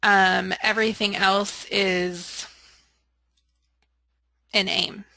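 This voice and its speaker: Bright-voiced woman leading software training. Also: medium pitch, little pitch movement, very slow, gravelly, dry recording, studio quality. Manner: candid, helpful, thinking aloud. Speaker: software trainer leading a webinar and taking questions. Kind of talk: informal live training, reading out and answering questions. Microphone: conference call or webinar audio.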